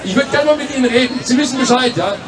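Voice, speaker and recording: Forceful man addressing a street rally. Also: extremely high pitch, fast. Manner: forceful, repeating "ja?", inviting and prompting. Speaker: rally organizer and speaker. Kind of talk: political street rally speech. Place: outdoor city square rally. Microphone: handheld microphone. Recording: distant voice with crowd noise.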